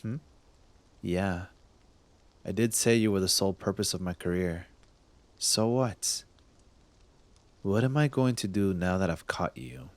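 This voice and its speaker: A man playing a smug cop secretly smitten with a thief in an intimate ASMR roleplay, teasing and halting, sometimes dropping to a breathy murmur. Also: low voice, lively intonation, no echo. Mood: probing, softening. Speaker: voice actor in audio roleplay. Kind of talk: ASMR roleplay acting, addressed to the listener.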